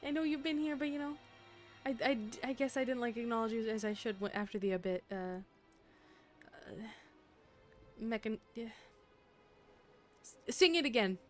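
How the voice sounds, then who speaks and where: A woman's voice: a little high, normal speed, long pauses, soft background music. A young adult woman streaming a game, chatting casually in a playful, teasing way and laughing often.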